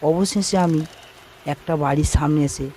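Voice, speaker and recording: A man's voice: on the high side, normal speed, dark tone, a little hiss or hum. A man giving scripted first-person narration of a cartoon ghost story. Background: background music.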